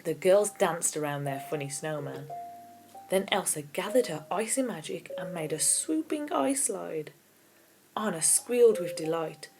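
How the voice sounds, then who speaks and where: A woman with a fairly low voice reads a children's story aloud. It is storybook narration, with clearly audible keyboard music playing behind her. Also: lively intonation, unhurried, poor audio. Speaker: audiobook reader.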